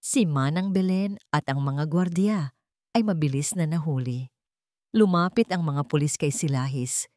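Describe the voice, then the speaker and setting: A woman's voice: deep voice, measured pace, dark tone, no room sound, clean studio sound. A woman narrating a scripted dramatic story.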